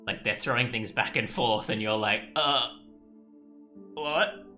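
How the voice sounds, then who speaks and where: Casting: male game streamer, bright forward tone, mid pitch, rambling self-deprecating commentary over music. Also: a little slow. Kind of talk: casual let's play commentary.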